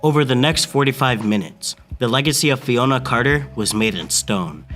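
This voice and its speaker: A man's voice: mid-range voice, expressive, moderate pace, fairly bright tone. A young man giving a dramatic scripted narration for an amateur radio mystery. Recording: music under the voice.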